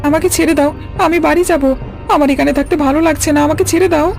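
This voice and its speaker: Timid cartoon little boy, voiced by a woman: high, creaky edge, anxious, light music underneath. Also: steady tone, rapid-fire, darkish tone. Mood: frightened, pleading. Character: timid young boy visiting his grandfather. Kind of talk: cartoon story voice acting.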